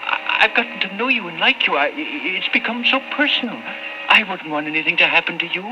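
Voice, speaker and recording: An actor playing a timid, guilt-ridden old man in an old-time radio drama, halting, anxious and pleading. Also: high-pitched, expressive, over music. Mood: apologetic. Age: older. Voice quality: halting, stammering. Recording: muffled, noisy old-time radio recording.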